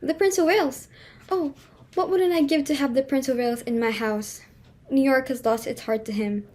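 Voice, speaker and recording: A woman's voice: sits high, a little mellow. A woman playing a fussy, snobbish middle-aged society hostess and mother in a play reading, with a theatrical, exclamatory, fretful manner. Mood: scheming, gushing.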